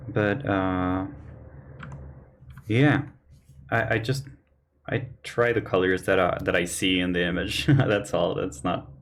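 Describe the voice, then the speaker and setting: A man hosting a painting livestream, slightly hoarse from losing his voice, speaking earnestly and thinking aloud as he works. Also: sits low, steady tone, slow pace, long pauses. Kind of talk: casual chat while painting. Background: faint keyboard and mouse clicks.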